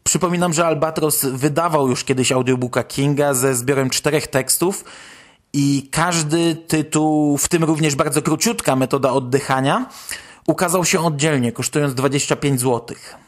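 Male podcast host, slightly high register, conversational news commentary. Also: normal speed.

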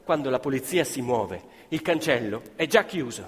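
A man's voice: lively intonation, normal speed, slightly rough. A man telling a story as dramatic narrative theatre, emphatic and repeating phrases for effect. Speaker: stage storyteller and actor. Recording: live music playing behind the voice. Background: live violin, piano and double bass music.

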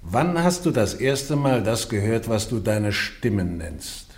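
An actor playing a formal, scholarly theologian who questions a prisoner with measured insistence in a radio play. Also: male voice, on the low side, very animated, darkish tone. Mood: probing, strict. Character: probing, scholarly male theologian interrogating a prisoner. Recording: echoey room.

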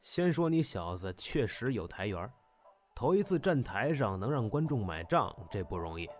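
A man playing a stern but fair crosstalk master, measured and instructive, in an audio drama. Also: mid-range voice, very animated, darkish tone, faint music behind, close to an everyday voice. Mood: critical, instructive.

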